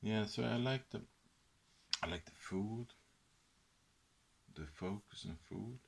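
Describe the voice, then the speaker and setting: Brief: Swedish-native man, unhurried, deep and gravelly, rambling ASMR chat. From Sweden. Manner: rambling, hesitant, many fillers; drifts into whispers. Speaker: ASMR host. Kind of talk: soft-spoken ASMR book chat.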